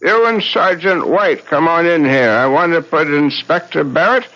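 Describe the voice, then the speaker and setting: An actor playing a stern, sardonic police commissioner in a 1970s radio drama, with an authoritative, dry manner. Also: male voice, a little high, lively intonation, moderate pace, sharp tone. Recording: dull 1970s radio broadcast recording.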